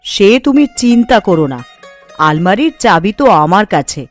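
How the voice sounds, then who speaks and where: A woman's voice: medium pitch, normal speed, soft background music, close to an everyday voice. A woman playing a kind-hearted but firm village housewife in a cartoon. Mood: firm, chiding. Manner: expressive, chiding. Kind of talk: Bengali fairy-tale cartoon dialogue.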